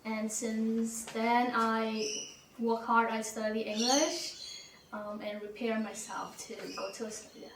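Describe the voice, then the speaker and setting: A young Vietnamese woman talks slowly and hesitantly, her voice sitting a little high. She sounds set back from the mic in an echoey room with faint ambience. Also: little pitch movement, far from the mic, background noise. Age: young adult. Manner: hesitant with many ums. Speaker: interviewee. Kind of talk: casual personal interview.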